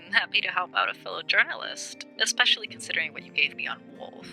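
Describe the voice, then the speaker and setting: Very bright, brisk woman journalist. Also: on the low side, faint music behind, rough recording. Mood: helpful, upbeat. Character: helpful, sharp, matter-of-fact female fellow investigative journalist. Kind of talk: audio drama acting, conversational.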